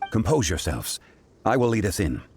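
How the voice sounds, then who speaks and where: Low-voiced, dignified sage. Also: male voice, very animated, normal speed, heavy background noise. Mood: composed, authoritative. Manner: formal, composed, solemn. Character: calm, dignified, commanding ancient male sage. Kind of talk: dramatic video game voice acting. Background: music.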